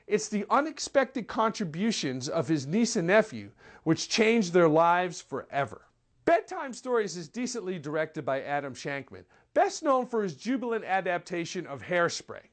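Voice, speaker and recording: A film critic delivering a scripted movie review in an articulate, measured, wry manner. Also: mid-range voice.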